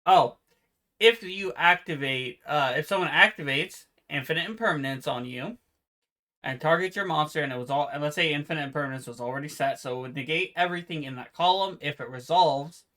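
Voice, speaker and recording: A man co-hosting a casual podcast, with a naturally quiet voice, explaining card game rules in a relaxed, meandering way. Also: fairly high pitch, brisk. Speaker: podcast co-host. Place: home room with fan noise removed by software.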